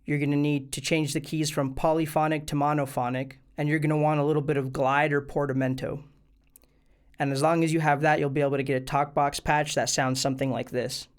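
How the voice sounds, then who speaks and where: A man with a slightly high voice presents a how-to video. He talks casually and lays out each step plainly, like a channel host addressing regular viewers. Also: level tone, fairly quick, smooth. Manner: informal, plain step-by-step explaining. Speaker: host of a music gear tutorial channel. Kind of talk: casual instructional video tutorial.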